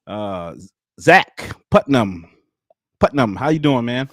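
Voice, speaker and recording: A man's voice: mid-range voice, animated, slow, a bit bright, no echo, studio quality. A man in his thirties hosting a casual live-stream interview, chatty and loose, laughing easily. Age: 30s. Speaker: livestream host.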